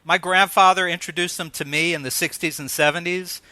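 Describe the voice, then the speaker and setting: Bright, high-voiced male interviewer. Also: fairly even intonation, brisk, no echo, low-quality recording. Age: middle-aged. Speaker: interviewer, host. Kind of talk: conversational interview.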